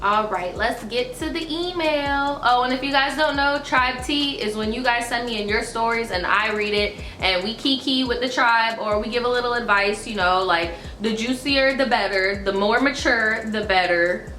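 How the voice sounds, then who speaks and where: A woman's voice: a little high, moderate pace, few pauses, sharp tone, reverberant, soft background music, poor audio. Chatty young woman with a stuffy nose. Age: 20s. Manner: chatty, slangy, lots of fillers. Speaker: YouTuber reading viewer stories. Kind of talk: casual advice chat and reading letters aloud. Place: small indoor room, seated before a dark backdrop.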